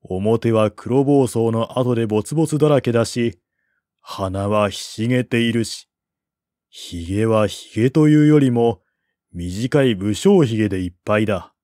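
A man reading literary narration as an audiobook narrator, in a measured, formal storytelling manner. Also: lowish voice, warm tone, dry recording, studio quality.

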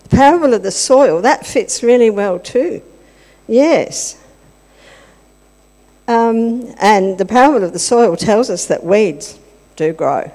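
A woman's voice: a little high, expressive, takes it slowly, long pauses, mellow. An older woman leading a playful children's talk in a church service, chatting and asking the children questions. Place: large church hall with congregation. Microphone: handheld microphone. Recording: long hall reverb.

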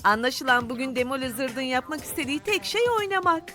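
High-voiced, encouraging cartoon helper. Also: female voice, lively intonation, fast talker. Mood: gently chiding. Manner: encouraging, articulate, gently instructive. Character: kind, guiding female helper to a young ranger. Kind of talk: animated children's cartoon dub. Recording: loud music under the voice.

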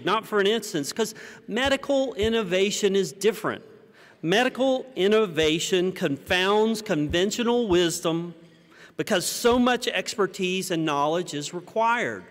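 An American man in his fifties giving a prepared conference keynote at a podium, with measured, rhetorical delivery and deliberate pauses. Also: high-pitched, expressive, a bit bright. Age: 50s. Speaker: keynote speaker. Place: large conference hall stage, indoors. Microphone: podium microphone. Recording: reverberant hall sound.

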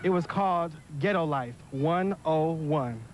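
A man's voice: a little high, a little slow. A young man giving a scripted field report outdoors in a city. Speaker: teen show reporter. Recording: outdoor street noise.

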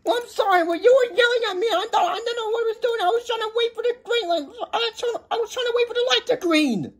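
A woman voicing a flustered, slow-witted cartoon truck learning to drive, whining and apologetic. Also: high-pitched, lively intonation. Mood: whiny, panicked. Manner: whiny, hesitant, apologetic.

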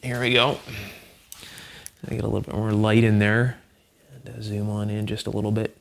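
A man hosting a hands-on electronics teardown, explaining things in an unhurried, methodical, conversational way. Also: fairly low pitch, little pitch movement, creaky. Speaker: host, electronics restorer and teacher. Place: indoor electronics workshop, at the bench.

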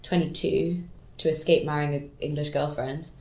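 Woman, late twenties, from hong kong, french native, fairly low voice, echoey room. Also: normal speed. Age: late 20s. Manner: relaxed, laughing easily, storytelling. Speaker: guest. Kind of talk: casual interview answers.

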